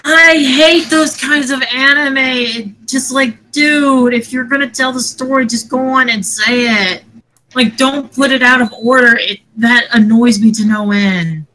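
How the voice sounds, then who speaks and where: A woman's voice: high voice, measured pace, bright, forceful tone, poor audio. A woman co-hosting a casual podcast, giving her opinions in informal, slangy talk. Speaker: podcast co-host. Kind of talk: casual chat. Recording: noticeable background noise.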